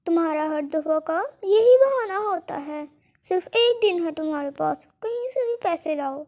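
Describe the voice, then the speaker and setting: Cartoon crow landlord, played by a narrator: male character, stern, scheming, pushy, pitched very high. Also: animated, normal speed, a little mellow. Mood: stern, demanding. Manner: scolding, threatening. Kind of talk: children's cartoon story dialogue.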